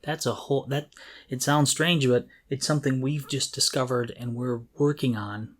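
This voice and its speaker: A middle-aged man co-hosting a podcast, talking casually and reflectively in an earnest, halting way as he thinks aloud. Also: medium pitch, fairly even intonation. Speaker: podcast co-host. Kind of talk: casual, reflective talk about creativity.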